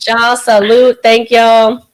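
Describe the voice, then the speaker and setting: A woman's voice: a little high, monotone, slow, a crowd in the background. A young adult woman hosting a live call-in podcast, talking in upbeat, slangy banter. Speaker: podcast host. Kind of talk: live call-in show chat.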